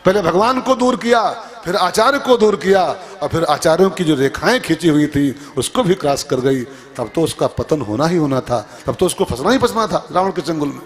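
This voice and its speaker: High-pitched male preacher. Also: expressive, moderate pace, few pauses. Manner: emphatic, repeats phrases, asks rhetorical questions. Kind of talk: musical scripture discourse with moral teaching.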